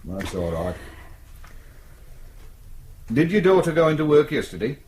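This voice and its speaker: An actor playing a brisk, world-weary British detective sergeant in a radio drama, reassuring but patronising and colloquial. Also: male voice, a little high, big pitch swings, a little mellow. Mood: businesslike, soothing. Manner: matter-of-fact, patronising, colloquial.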